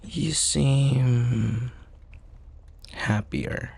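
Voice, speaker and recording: A man playing an affectionate, playful university student best friend in an intimate roleplay, talking softly and a little hesitantly in a husky voice. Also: mid-range voice, very slow, heavily acted. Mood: tender, sincere. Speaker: audio roleplay voice actor. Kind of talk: intimate boyfriend ASMR roleplay.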